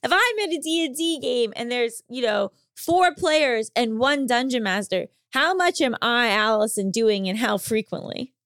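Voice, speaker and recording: A woman's voice: fairly high pitch, animated, normal speed, few pauses, fairly bright tone, clear, resonant tone, no room sound, studio quality. A woman podcast host asking curious, frank questions with frequent laughs in casual chat.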